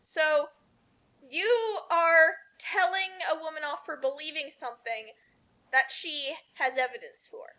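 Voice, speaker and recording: A woman's voice: high-pitched, unhurried, sharp tone. A woman co-hosting a comedic reading, riffing on the story with emphatic, argumentative opinions.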